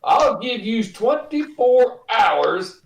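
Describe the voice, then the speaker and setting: A man playing a flustered, blustering boss and henpecked husband in a radio comedy, halting and sputtering in ungrammatical speech. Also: very high-pitched, very animated, gravelly, far from the mic, slight background noise, full character voice. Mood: frantic, barking. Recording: roomy, echoing sound.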